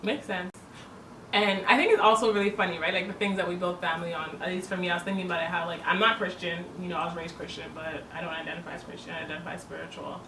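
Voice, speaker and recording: A woman's voice: fairly low pitch, fairly even intonation, quick pace. Earnest woman addressing viewers. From born in Jamaica, raised in Toronto, Canada. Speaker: vlogger. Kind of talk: casual couple's chat to camera. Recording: roomy, distant mic with faint noise.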